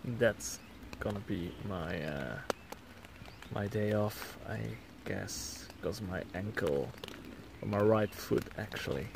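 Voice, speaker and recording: Slow, gravelly young Belgian man. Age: young adult. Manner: low-key, halting. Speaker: hiker vlogger. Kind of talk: casual vlog recap to camera. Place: inside a small tent outdoors. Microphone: camera or phone at arm's length. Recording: clearly noisy small-camera recording.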